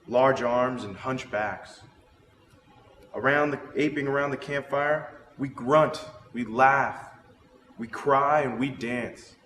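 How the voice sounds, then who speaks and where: Man, dramatic poetry reading, measured and theatrical, medium pitch, echoey room, faint noise. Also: fairly bright tone. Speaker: drama student reader.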